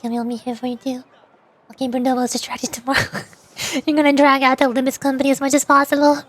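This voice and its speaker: A woman's voice: sits high, little pitch movement, quick pace, a bit bright. A woman livestreamer chatting casually over a game in a playful, theatrical way. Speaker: vtuber streamer.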